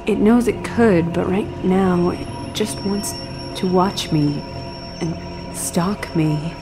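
A woman's voice: fairly low pitch, slow pace, music in the background. A woman playing a haunted, anxious patient in an audio drama, speaking hesitantly. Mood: frightened. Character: haunted, anxious young woman recounting nightmares.

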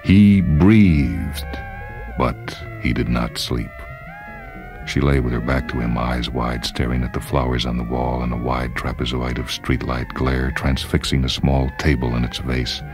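Male narrator, extremely deep. Dramatic short-fiction reading, music bed. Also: steady tone, unhurried. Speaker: narrator, story reader. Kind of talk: dramatic literary story narration.

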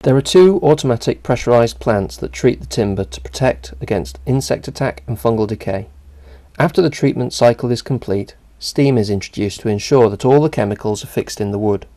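A man reading a scripted narration for a company video in an even, measured way. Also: medium pitch.

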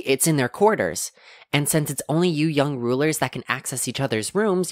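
A woman playing a polite, precise AI helper in a science fiction audio drama, speaking in formal, measured phrases. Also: rumbling low, no room sound, studio quality. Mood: composed, helpful. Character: polite, precise robot AI helper, a little unsure.

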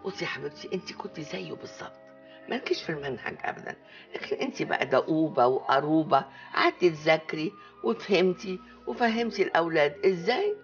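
A woman's voice: low-pitched, expressive, fast, highs cut off, faint music behind. An older woman with an Egyptian accent acting a bossy, cunning aunt in a TV comedy, drawling and coaxing theatrically. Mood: scheming. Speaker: actor in a TV comedy series. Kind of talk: comic TV drama dialogue. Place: living room set, indoors.

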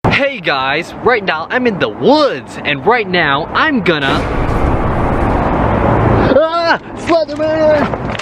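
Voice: mid-range voice, sing-song, takes it slowly, long pauses, background noise. A person acting out comedy sketches in a playful, exaggerated delivery.

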